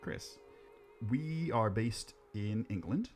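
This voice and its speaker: A man's voice: fairly low pitch, animated, slow pace, darkish tone, soft background music. A middle-aged Canadian man co-hosting a podcast, chatting casually and joking in self-deprecating banter. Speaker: podcast co-host. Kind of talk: casual chat.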